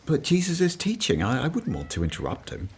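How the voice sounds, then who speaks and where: An actor playing a humble, self-doubting former tax collector in a radio play, earnest and hesitant. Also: male voice, medium pitch, huge pitch swings, brisk, breathy edge, exaggerated voice. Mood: uneasy, doubtful.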